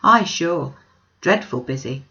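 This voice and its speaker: A woman's voice: low voice, animated, takes it slowly, gravelly, sharp tone, lo-fi sound, heavily acted. A woman voicing a chatty, eager old country woman with earnest, polite, rustic speech in a storybook reading. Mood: chatty pride.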